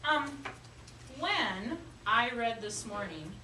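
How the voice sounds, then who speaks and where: A woman speaks as an elementary teacher leading a classroom lesson. She is encouraging and questioning, pausing as she finds her words. Her voice sits back from the mic in an echoey room with clear background noise. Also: fairly high pitch, lively intonation, pushed and bright. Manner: encouraging, questioning, hesitant phrasing with pauses. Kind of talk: classroom lesson talk to young children. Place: indoor classroom. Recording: roomy, noisy classroom, distant mic.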